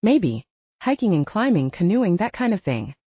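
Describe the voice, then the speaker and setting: A woman reading the part of a calm, measured middle-aged man, a father and leader, in an audiobook, gently serious in manner. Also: medium pitch, breathy edge, no echo. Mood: thoughtful. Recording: slightly dull lo-fi audio.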